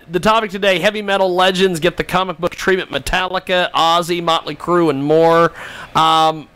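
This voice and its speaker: A man with a fairly high, sharp and bright voice hosts a casual talk show. His delivery is halting, and he often repeats words before finishing a thought. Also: clean tone. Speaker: radio show host.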